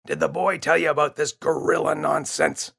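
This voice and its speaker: Man doing a grumpy dad, scolding, louder and a bit raised. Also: fairly high pitch, little pitch movement, normal speed, pitched above the performer's own voice, heavily acted. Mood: irritated, scolding. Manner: gruff, impatient, scolding. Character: grumpy, dismissive TV-watching father.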